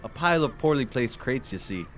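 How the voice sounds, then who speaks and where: A man's voice: mid-range voice, animated, fairly quick, fairly bright tone, faint music behind, natural voice. A man playing a folksy, skittish dockside informant in a 1940s noir radio drama. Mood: uneasy, apologetic.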